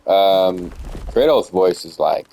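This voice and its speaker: A man's voice: low voice, takes it slowly, creaky, mellow, rough recording. A young man casually commenting on a video game as he plays, rambling with lots of hesitant fillers. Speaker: gamer streaming a playthrough.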